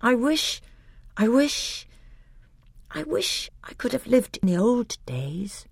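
An eager, timid little prince chatters in a high, wide-ranging voice, put on by a woman reading aloud. The sound is close and dry, with no room around it. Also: sing-song, slow, creaky, slightly rough, no room sound, exaggerated voice. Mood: wistful.

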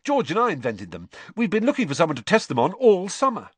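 A man voicing a mischievous teenage wizard boy in an audiobook, bright, cheeky and defiant. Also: high-pitched, huge pitch swings, moderate pace, no echo, voice pushed up, heavily acted.

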